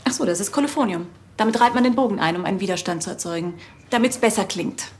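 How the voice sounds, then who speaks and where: A woman violinist played by an actress in a TV crime comedy, cool and sardonic. Her medium-pitched voice stays dry and composed over faint ambience. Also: fast talker, a little hiss or hum, natural voice.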